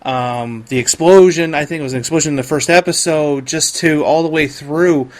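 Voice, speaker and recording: Male podcast host, fairly high voice, casual, loosely rambling delivery. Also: normal speed. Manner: rambling, self-interrupting. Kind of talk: casual chat.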